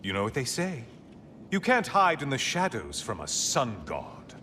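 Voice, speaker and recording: An actor playing a sardonic, taunting sun god in a video game, with theatrical, mock-cheerful sarcasm. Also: male voice, mid-range voice, wildly swooping pitch, measured pace, sharp tone, slight background noise, full character voice. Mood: mocking, gleeful. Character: taunting, sardonic sun god, gleefully mocking old foe. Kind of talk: dramatic video game acting.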